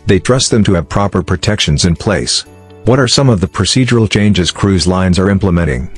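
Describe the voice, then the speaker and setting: A man reading a scripted explainer narration in a measured, formal way. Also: sits low, animated, breathy edge. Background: background music.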